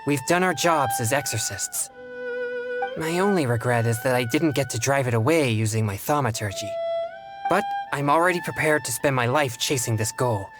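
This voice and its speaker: Man voicing teenage boy exorcist, video game hero, medium pitch, earnest, courteous, formal phrasing, music underneath. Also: normal speed, natural voice. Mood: reassuring, earnest.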